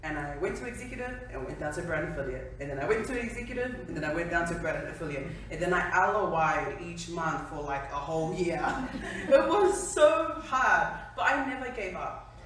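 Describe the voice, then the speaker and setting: A woman's voice: fairly low pitch, expressive, fairly quick, a bit bright, slight background noise. A woman in her twenties from New Zealand telling her personal story to an audience at an event, chatty and laughing at herself. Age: 20s. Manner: chatty, laughing, self-deprecating. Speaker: speaker at a company event. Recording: echoey hall from far off.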